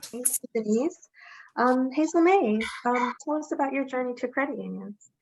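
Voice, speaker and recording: A woman's voice: high voice, animated, normal speed, a little mellow. A woman moderating an online panel, giving brief prompts to each speaker. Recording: muffled sound.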